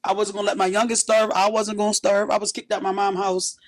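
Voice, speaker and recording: A woman in her thirties from West Philadelphia talks in a raspy voice with a blunt, hardened edge. She speaks candidly as an interview guest, seated on a sofa in a small indoor studio. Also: medium pitch, level tone, fast talker. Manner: blunt, tough, street-worn storytelling.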